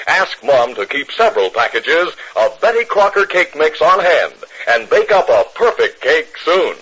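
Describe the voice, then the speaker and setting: A man's voice: a little high, very animated, moderate pace, bright, forceful tone. An American man announcing and narrating in a polished, dramatic 1950s radio style. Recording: very noisy, muffled 1950s radio recording.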